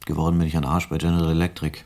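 An actor playing a disillusioned but humane private detective, laconic and dry, in a radio drama. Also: male voice, extremely low pitch, flat delivery, racing, a little mellow. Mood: bitter, weary. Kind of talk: German radio drama acting.

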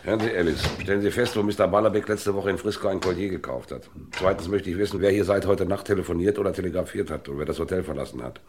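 An actor playing a brisk, businesslike police inspector in a vintage crime radio play, speaking in a clipped, commanding, matter-of-fact way. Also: male voice, low voice, darkish tone, slight background noise, lightly acted. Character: brisk, businesslike male police inspector investigating a hotel murder.

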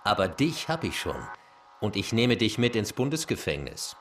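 An actor playing a stern, bullying US marshal in a German radio crime drama, with a commanding manner. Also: male voice, on the low side, very animated, normal speed, a bit bright, a little hiss or hum. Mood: stern, superior. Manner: commanding, barking orders. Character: stern, bullying fake US marshal, grudgingly bribable.

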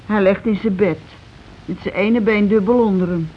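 Actress as halting housewife, muffled noisy recording. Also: female voice, mid-range voice, close to an everyday voice. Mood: flat, stunned. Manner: halting, repetitive, falters mid-sentence. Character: shaken working-class housewife circling around grim news. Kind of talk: naturalistic radio play dialogue. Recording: muffled, noisy old radio broadcast recording.

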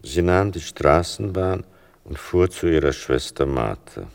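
A male actor as the grave narrator of a literary radio play, speaking in a measured, unhurried way. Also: very deep voice, expressive, vocal fry, long reverb tail, exaggerated voice.

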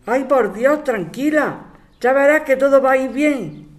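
A woman's voice: sits high, expressive, measured pace. A woman acting a caring, practical friend offering comfort in an amateur radio drama. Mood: soothing.

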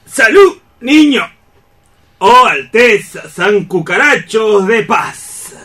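Slow, boozy old scorpion woodcutter. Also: male voice, sits high, animated, sharp tone, exaggerated voice. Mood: mocking. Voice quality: hoarse, boozy voice. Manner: tipsy singsong, mock-grand greetings. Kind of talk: radio theatre acting.

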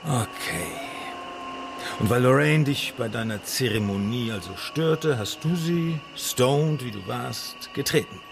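A blunt male investigator in a radio crime drama presses with insistent, needling questions. His voice is creaky and sits at a middling pitch. Music plays clearly behind him. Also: animated, unhurried. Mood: accusing, pressing. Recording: studio radio play with music underneath.

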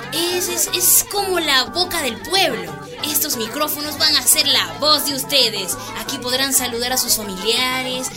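An actress playing a nervous but eager first-time radio announcer, informal and earnest, in a radio drama. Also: female voice, high-pitched. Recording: music behind the voice.

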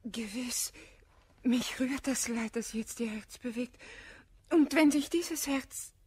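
Composed, breathy, high-voiced gentlewoman. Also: takes it slowly. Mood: tender, soothing. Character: composed, sympathetic gentlewoman confidante, gently sensible. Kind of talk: German radio play of classical verse comedy.

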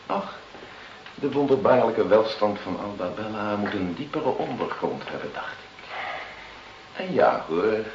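An actor in a radio play voicing a mocking, mock-friendly gangster who smuggles drugs and blackmails. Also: male voice, on the low side, full character voice. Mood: mock-friendly, sly. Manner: sly, mock-friendly, taunting. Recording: muffled, noisy, roomy old radio recording.

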